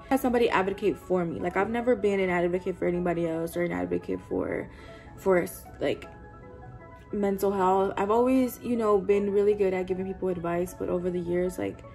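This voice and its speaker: A woman in her twenties talking candidly into the camera in her bedroom while doing her makeup, cursing casually and filling every pause with "like". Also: mid-range voice, dark tone. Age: 20s. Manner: chatty, candid, swears often, lots of "like". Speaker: vlogger. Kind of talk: casual get-ready-with-me chat. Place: bedroom, indoors. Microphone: camera-facing, doing makeup at a desk.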